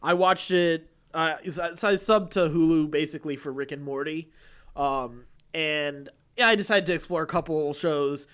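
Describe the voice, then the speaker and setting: A man with a slightly high voice hosts a relaxed nerd-news podcast. He talks in an easygoing, chuckly way, with phrases that stop and start as he moves between news items and banter. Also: moderate pace, clear, resonant tone. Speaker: podcast host. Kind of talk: casual nerd-news chat with some read-out news items.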